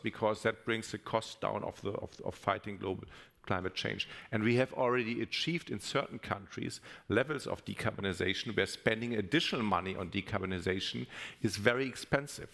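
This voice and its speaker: Low, very creaky man panelist. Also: a bit bright. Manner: methodical, point by point. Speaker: panelist, business leader. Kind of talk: panel discussion, argued explanation.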